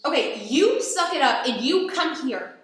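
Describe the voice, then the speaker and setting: A high-pitched woman acting as a teenage sister in a radio play, casual and matter-of-fact with a sharp tongue. The room is very echoey. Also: sing-song, normal speed, a little hiss or hum. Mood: snappy. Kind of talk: amateur radio drama acting.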